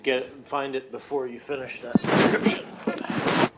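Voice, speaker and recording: A man co-hosting a review show in casual, halting, matter-of-fact chat, recorded on a tablet microphone. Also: medium pitch, little pitch movement, dull audio, heavy background noise.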